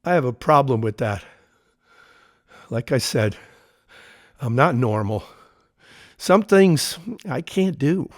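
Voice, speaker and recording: A nervous ex-soldier turned painter in an audio play. His words are terse and guarded, yet the pitch moves around a lot. The recording is close and dry. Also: expressive, slow, pauses often, dry recording. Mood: uneasy. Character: nervous, guarded male army veteran working as a painter. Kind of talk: audio drama dialogue.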